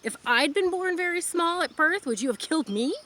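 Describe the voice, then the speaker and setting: A woman's voice: very high voice, expressive, a little fast, pushed and bright, pitched above the performer's own voice, heavily acted. A woman voicing a young girl who pleads and protests through tears to save a piglet. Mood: pleading. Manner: pleading, tearful and indignant.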